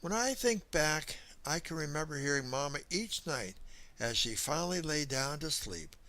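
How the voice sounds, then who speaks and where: A man's voice: fairly high pitch, expressive, normal speed, sharp tone. A man narrating a scripted first-person story in a folksy, dramatic storytelling manner. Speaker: audiobook narrator.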